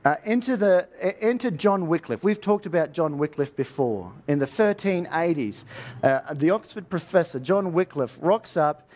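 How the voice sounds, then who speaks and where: A man with a fairly high voice delivers a sermon that plays like an informal history lecture. He tells it in colloquial language, as a story, and puts rhetorical questions to his listeners. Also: animated, moderate pace, darkish tone. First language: English. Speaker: preacher teaching on Bible history.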